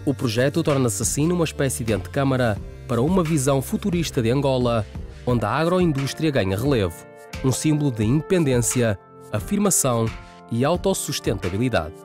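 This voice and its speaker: A man narrates a news report in a measured, scripted read. His voice is mid-pitched. Soft music plays beneath. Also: lively intonation. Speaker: reporter voice-over.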